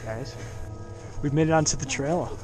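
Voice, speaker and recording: A young man chatting casually and reacting excitedly as an exploration vlogger, recorded on a handheld camera. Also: fairly high pitch, moderate pace, music in the background. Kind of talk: casual chat while exploring. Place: dark abandoned haunted attraction, indoors and out. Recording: noisy handheld camera audio.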